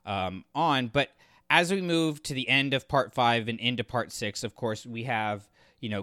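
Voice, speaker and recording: A man co-hosting a podcast, talking casually about books in a halting, thinking-aloud way. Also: on the low side, pushed and bright, clear, resonant tone, dry recording, clean studio sound. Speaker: podcast co-host reviewing books. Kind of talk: casual discussion and commentary.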